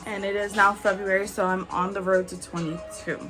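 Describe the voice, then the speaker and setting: A woman in her twenties chatting candidly as a beauty vlogger while doing her makeup, with frequent "like"s and soft laughs. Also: medium pitch, little pitch movement, a little fast, music in the background. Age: 20s.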